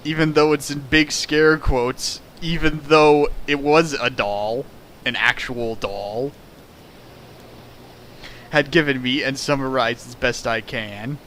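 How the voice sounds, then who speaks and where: A man's voice: medium pitch, fairly even intonation, slow, a bit bright, a little hiss or hum. A man reading a fan fiction aloud for comedy, breaking off for sarcastic, mocking commentary on the writing. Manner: sarcastic, mocking asides, laughs at the text. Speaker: reader riffing a fan fiction.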